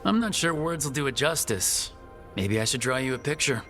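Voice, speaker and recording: A young man who leads heroes in a fantasy video game. His middle-pitched voice is direct and determined with a wry, caring streak. Music runs clearly behind him. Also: animated, normal speed, fairly bright tone. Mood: warm, wry. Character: resolute young male hero leader, wry and caring.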